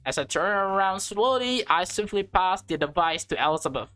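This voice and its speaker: A woman's voice: fairly low pitch, animated, moderate pace, fairly bright tone, faint music behind. A woman reading a visual novel aloud as a let's play, switching between narration and casual commentary. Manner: reacts aloud in informal asides.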